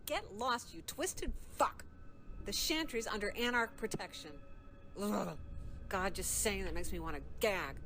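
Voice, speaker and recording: A woman's voice: medium pitch, unhurried, a bit bright, a little hiss or hum. An actress playing a foul-mouthed young female rebel vampire, sneering and combative. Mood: defiant. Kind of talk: game character dialogue.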